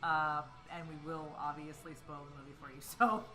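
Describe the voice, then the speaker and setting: A woman's voice: low voice, flat delivery, normal speed, bright, forceful tone, low-quality recording. A tipsy woman co-hosting a movie show in giggly, chatty, casual banter with some swearing. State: tipsy from beer.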